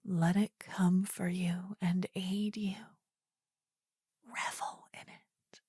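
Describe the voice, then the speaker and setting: A woman voicing a possessive, adoring demon queen in a breathy, husky whisper for a fantasy ASMR roleplay, intimate and soothing with formal phrasing, close to the microphone. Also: on the low side, level tone, slow pace, warm tone, no echo, heavily acted. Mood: soothing, protective. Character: possessive, adoring demon queen, tender yet menacing female lover. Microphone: close ASMR microphone, dry.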